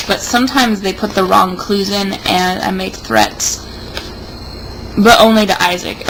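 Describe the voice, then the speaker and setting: A woman's voice: mid-range voice, gravelly. A young woman in a casual, rambling monologue, sounding tipsy and sardonic. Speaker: vlogger. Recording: noticeable background noise.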